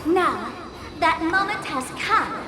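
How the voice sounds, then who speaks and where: A woman's voice: very high voice, animated, slow pace, echoey room, exaggerated voice. A woman voice acting a playful, smug young female internet idol and trickster in a video game, speaking in a theatrical, teasing, grandiose way.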